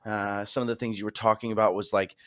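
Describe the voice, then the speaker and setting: A man's voice: lowish voice, little pitch movement, fast talker. A man hosting a casual chat, talking in an enthusiastic, rambling way. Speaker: podcast host.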